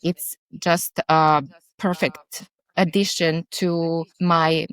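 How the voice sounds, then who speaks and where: A woman, a podcast guest who talks haltingly, often restarting as she searches for words. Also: deep voice, level tone, smooth, rough recording. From Serbia. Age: 40s. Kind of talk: interview conversation.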